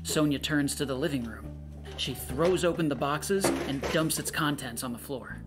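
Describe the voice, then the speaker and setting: A woman reading stage directions as a scripted narrator in a plain, measured way. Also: deep voice, over loud music, natural voice. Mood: neutral, measured.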